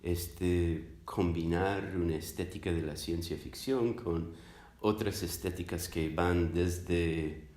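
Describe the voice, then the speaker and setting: A man from the United States whose native language is English gives considered interview answers. His voice sits very low. He searches for words as he explains, and the room adds an audible echo. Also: fairly even intonation, takes it slowly, darkish tone. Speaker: interviewed academic, literature scholar. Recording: roomy, echoing sound.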